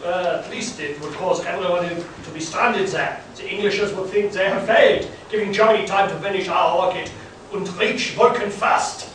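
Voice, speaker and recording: A man playing a scheming German conspirator, halting and comic, in a live amateur radio play. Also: high-pitched, fairly bright tone. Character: slow-witted, scheming German male conspirator. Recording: echoey hall, distant mic, audience noise.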